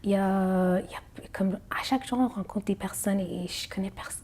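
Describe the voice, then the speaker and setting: A woman's voice: mid-range voice, normal speed, darkish tone. A woman singer whose first language is Arabic, answering interview questions in a hesitant way, with many fillers and restarts.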